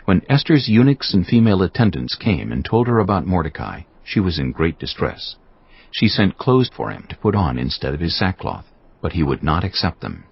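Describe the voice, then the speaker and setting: Very deep, gravelly male scripture narrator. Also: sing-song, moderate pace, muffled recording.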